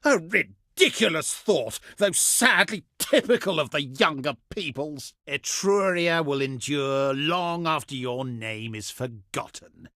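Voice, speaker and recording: A man playing a haughty, cultured ancient Etruscan diplomat in a strategy game, speaking with formal, pompous, deliberate emphasis. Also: on the high side, lively intonation, pushed and bright, dry recording, clean studio sound, exaggerated voice. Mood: scornful, indignant. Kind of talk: theatrical scripted game voice acting.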